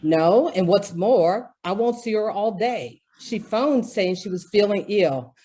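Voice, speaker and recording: A woman's voice: medium pitch, lively intonation, measured pace, warm tone, slightly rough. A woman playing a prim, irritable male department-store floor walker in an old-time radio drama, stuffy and officious. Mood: peevish.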